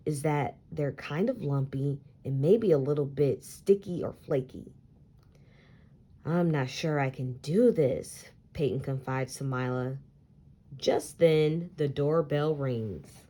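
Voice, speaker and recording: Slow, deep-voiced woman reading a picture book. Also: mellow. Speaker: picture book read-aloud reader. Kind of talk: expressive children's story read-aloud.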